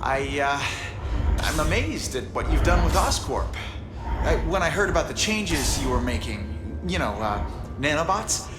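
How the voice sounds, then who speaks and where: An actor playing a cheeky, awkward young science student in a video game, boyish, hesitant and joking. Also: male voice, on the high side, expressive, unhurried. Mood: sheepish. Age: young adult. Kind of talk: video game cinematic acting. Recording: echoey hall under loud music.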